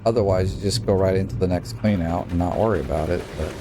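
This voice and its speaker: A man's voice: low voice, quick pace, noisy background. A man from Utah giving casual, rambling commentary as he plays a video game, muttering at it as he goes. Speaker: gaming YouTuber. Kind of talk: casual let's-play commentary.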